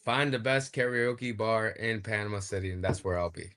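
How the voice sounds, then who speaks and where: A man with a fairly low voice speaks as a guest in a casual interview. He is plain-spoken and explanatory, telling stories conversationally. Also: level tone, moderate pace, a bit bright.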